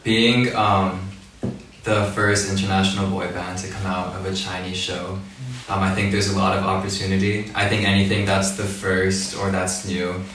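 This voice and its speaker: A young man from Hawaii and Japan, a boy band vocalist, giving calm, measured interview answers. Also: low-pitched, a little hiss or hum. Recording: echoey room, mic at a distance.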